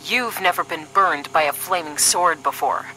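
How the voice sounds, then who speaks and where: A woman's voice: low voice, normal speed, sharp tone, slight background noise, poor audio. A woman acting as a sardonic, duty-minded young paramilitary agent in a scripted audio drama, dry and serious. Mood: weary, dry.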